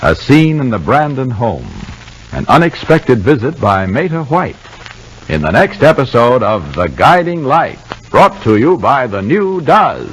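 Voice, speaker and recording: A man's voice: mid-range voice, sing-song, a little slow, slight background noise, low-quality recording. A man announcing in a 1950s radio style, with a polished, persuasive sales delivery. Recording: vintage 1950 radio broadcast.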